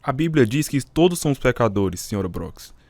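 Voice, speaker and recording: An actor playing a solemn, firm pastor in a Brazilian Portuguese radio drama, with a preaching manner. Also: male voice, mid-range voice, big pitch swings, moderate pace, darkish tone, dry recording. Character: solemn, firm pastor defending a young couple.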